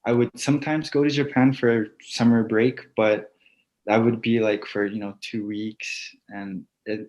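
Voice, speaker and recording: A young man who grew up in Hawaii, talking casually in an online interview about his football plans, soft-spoken with many fillers. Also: medium pitch. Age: young adult. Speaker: football player guest. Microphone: video call. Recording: dull lo-fi video call audio.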